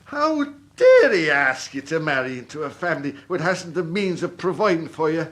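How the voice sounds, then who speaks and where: A man's voice: on the high side, big pitch swings, moderate pace, a bit bright. An actor playing a tipsy, blustering old Irish father and army captain in a radio drama, bombastic, maudlin and melodramatic. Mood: blustering outrage.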